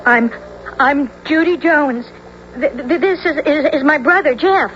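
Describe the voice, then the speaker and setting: A woman's voice: high voice, a little slow, fairly bright tone. A woman playing an anxious, bookish teenage girl in a radio drama, earnest and hesitant in her speech. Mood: frightened, pleading. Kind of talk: 1970s radio drama acting. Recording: muffled, faintly hissy old radio recording.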